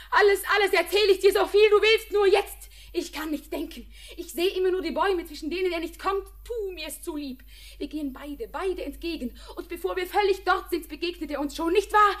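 An actress playing an anxious, lovestruck young daughter in a poetic radio drama, with a fervent, halting delivery. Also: female voice, extremely high pitch, a bit bright. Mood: desperate pleading.

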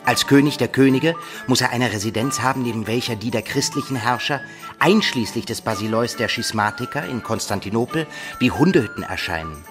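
An actor playing a blunt, irreverent medieval student companion in a radio drama. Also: male voice, medium pitch, a little fast, music in the background, close to an everyday voice. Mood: urging, emphatic. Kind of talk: German radio drama acting.

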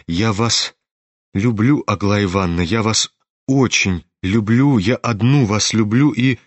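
A man voicing a timid, gentle young nobleman in a storybook reading, speaking softly and hesitantly. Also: on the low side, a little slow, no room sound. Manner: soft, hesitant.

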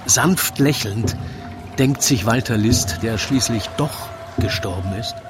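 A man narrating a radio play in rhymed verse, with measured, deliberate storytelling. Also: medium pitch, background noise. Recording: loud music under the voice. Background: loud music.

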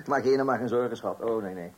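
A fussy middle-aged Dutch husband played by a man in a radio play, with a husky, mid-pitched voice. He is indignant and sure of himself, though he stumbles over little hesitations and repeats himself. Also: brisk, lightly acted. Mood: self-assured. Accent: Netherlands Dutch. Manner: self-assured, hesitant little ehs and repeated words. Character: fussy, indignant middle-class husband guarding his property.

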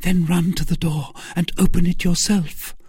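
A man's voice: high-pitched, level tone, normal speed, darkish tone, breathy edge, no echo, clean studio sound, voice pushed up. A man voicing a desperate, scheming captive noblewoman in a melodramatic, imploring manner for a storybook. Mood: urgent, desperate.

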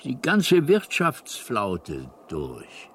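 A man's voice: mid-range voice, huge pitch swings, extremely slow, a bit bright, slight background noise, heavily acted. A man playing a kindly, absent-minded old alien planet builder in a radio drama, with a hesitant, meandering delivery. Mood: wistful, rambling. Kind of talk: German radio drama acting.

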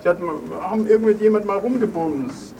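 A man's voice: high-pitched, a little fast, warm tone, close to an everyday voice. A man playing a blunt, judgmental accuser who presses insistently in an improvised spoken audio drama. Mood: accusing, insistent. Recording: noisy, distant microphone with background ambience.

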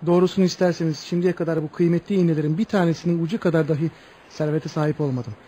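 An actor playing a humble, earnest young suitor in a radio play, with theatrical delivery. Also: male voice, fairly high pitch, fairly even intonation, rapid-fire, warm tone, natural voice. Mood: awestruck. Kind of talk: Turkish radio theatre acting. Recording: noisy old radio drama recording.

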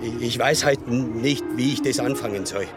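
A man's voice: mid-range voice, moderate pace, close to an everyday voice. Sheepish Austrian village guy played by an actor, music behind. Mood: sheepish, confessing. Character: jealous, sheepish, awkward young village man. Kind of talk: naturalistic radio drama dialogue.

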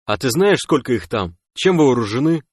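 A man's voice: medium pitch, sing-song, moderate pace, breathy edge, no echo, studio quality, voice pushed up. A man voicing a proud, sensible winged alien male who is stern and easily offended, in an audiobook story. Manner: stern, reproachful.

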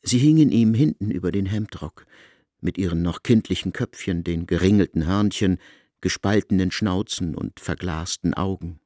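A man narrating a literary story from a script, in a measured, deliberate storytelling manner. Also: deep voice, dark tone, no echo, clean studio sound.

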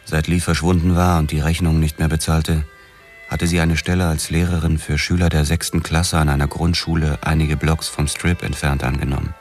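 An actor playing a brooding, obsessed police detective, narrating his own noir story in a measured, sombre manner. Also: extremely low pitch, level tone, faint music behind. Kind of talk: scripted noir first-person narration.

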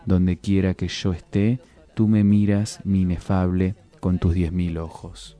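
A man giving a solemn, deliberate dramatic reading of poetic prose, as a guest reader. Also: deep voice, flat delivery. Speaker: guest reader of literary texts. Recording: music under the voice. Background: music.

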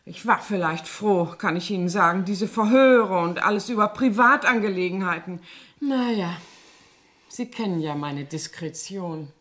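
A woman's voice: mid-range voice, animated, normal speed, fairly bright tone, natural voice. An actress playing a chatty French cleaning woman who sounds naive and guileless while telling gossip dramatically, in a 1970s German radio play. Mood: innocent, chatty.

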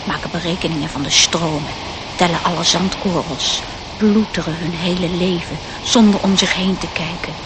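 An actress playing a wise, protective elf, earnest and gently admonishing, in a radio play. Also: female voice, on the low side, steady tone, normal speed, close to an everyday voice. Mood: sad, reproachful. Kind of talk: radio drama acting. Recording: noisy radio drama recording.

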